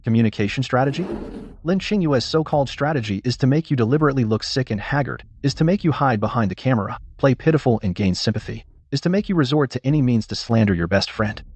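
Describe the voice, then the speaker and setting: A man playing a stern, morally outraged teacher, husky and scolding, in a dubbed melodrama. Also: mid-range voice, animated, quick pace, a little mellow, no room sound. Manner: scolding, emphatic.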